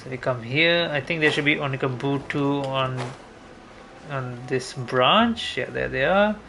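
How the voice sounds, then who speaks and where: A man's voice: medium pitch, measured pace, creaky, sharp tone, a little hiss or hum. A man giving casual gameplay commentary as a streamer, thinking aloud in a rambling, mildly sweary way. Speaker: game streamer.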